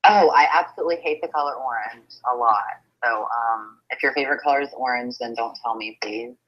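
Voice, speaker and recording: A teenage man introducing himself as a contestant, hesitant and halting with many ums. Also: medium pitch. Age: late teens. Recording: dull lo-fi audio.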